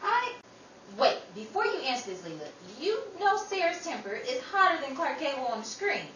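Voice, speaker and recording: A woman's voice: high voice, moderate pace. A woman playing a wry, wisecracking eldest sister who keeps the peace in an old-time radio drama. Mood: exclaiming. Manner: animated, wisecracking. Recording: roomy, distant mic, faint noise.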